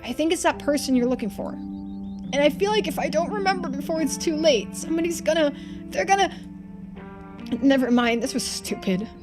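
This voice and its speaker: A woman plays an anxious young boy from a mystery game. The voice is high, halting and pleading, full of confusion and distress, with clear music underneath. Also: animated. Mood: anxious, confused.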